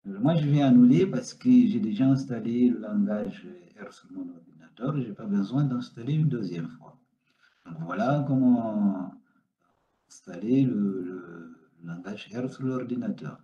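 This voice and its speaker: A man whose first language is Portuguese, giving an unhurried, step-by-step software tutorial with many hesitations. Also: fairly low pitch. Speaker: data science instructor. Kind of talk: screen-recorded software tutorial. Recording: roomy, dull lo-fi sound.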